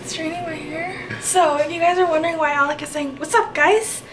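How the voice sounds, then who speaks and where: A woman's voice: extremely high pitch, fairly even intonation, brisk, fairly bright tone, slight background noise. A young woman in her twenties with a Mexican American accent, chatting in a bubbly, giggly way in a small apartment. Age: 20s. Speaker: vlogger. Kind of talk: casual home vlog chat. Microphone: camera held by someone nearby.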